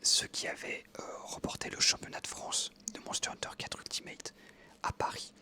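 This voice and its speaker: A man in his twenties whispering a relaxed personal story while out on an evening walk, hesitating often with fillers. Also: moderate pace. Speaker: ASMR creator.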